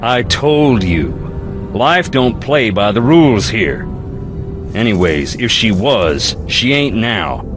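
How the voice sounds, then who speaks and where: A man's voice: fairly low pitch, big pitch swings, slow pace, over music. A man playing a guarded, brooding country man in a video game, plainspoken. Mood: resigned. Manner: laconic, plainspoken, folksy. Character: laconic, brooding rural Southern man, regretful and guarded.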